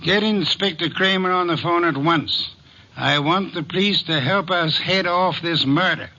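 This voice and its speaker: An actor playing an imperious, erudite older detective in a radio drama, speaking precisely and with pompous command. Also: male voice, high-pitched, a little slow, sharp tone, slight background noise. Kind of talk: 1940s-50s radio detective drama acting. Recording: dull lo-fi vintage radio recording.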